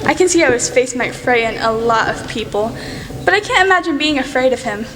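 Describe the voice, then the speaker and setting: A young woman playing an outspoken, excitable teenage girl in a radio play, gushing and rambling bluntly. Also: high voice, fairly quick. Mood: frank, earnest. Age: teenager or young adult. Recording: echoey hall, faint noise.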